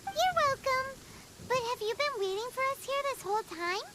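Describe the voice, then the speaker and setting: A woman voicing a tiny, excitable, cheeky fairy companion with a chirpy childlike delivery in a video game. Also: extremely high pitch, normal speed, background noise. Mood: chirpy, eager. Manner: chirpy, speaks of self in third person.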